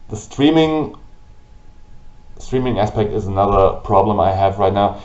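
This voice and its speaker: A man with a German accent, whose first language is German, hosting a gaming channel and ranting slowly and haltingly about it to camera. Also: lowish voice, lively intonation, a little mellow. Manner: halting, with restarts and pauses. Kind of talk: frustrated rant to camera. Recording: roomy, dull lo-fi audio.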